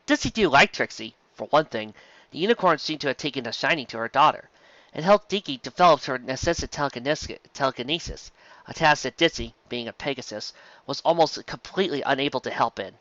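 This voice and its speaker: Animated, bright-voiced storybook narrator. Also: mid-range voice, fast talker, no room sound, muffled recording. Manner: animated, stumbles over words. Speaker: narrator of fan fiction. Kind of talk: expressive scripted story narration.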